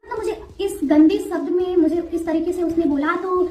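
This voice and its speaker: Very high-pitched young woman, distant and echoey. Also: moderate pace, darkish tone, a little hiss or hum. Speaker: interview guest giving her account. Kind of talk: explaining herself in a call interview. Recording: distant, echoey call recording.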